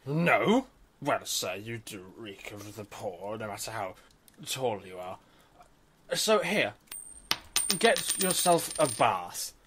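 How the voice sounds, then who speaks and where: A man's voice: lowish voice, sing-song, slow pace, a crowd in the background. A man playing a haughty, blustering drunken nobleman in a fantasy audio drama. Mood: sneering. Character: pompous drunken male noble, whiny and cowardly.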